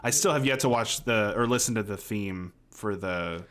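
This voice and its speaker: A man's voice: fairly low pitch, normal speed, a bit bright. A young man co-hosting a podcast in a casual, conversational style. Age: young adult. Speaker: podcast co-host. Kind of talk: casual banter. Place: room at home, indoors.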